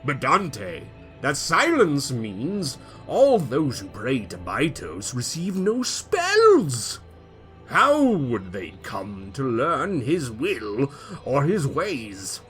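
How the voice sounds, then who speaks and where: A man giving a dramatic first-person reading as his character, swinging between loud emphatic peaks and quiet low endings. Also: wildly swooping pitch, extremely slow, soft background music. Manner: theatrical, rising to loud emphatic peaks then dropping low. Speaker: player reading character backstory.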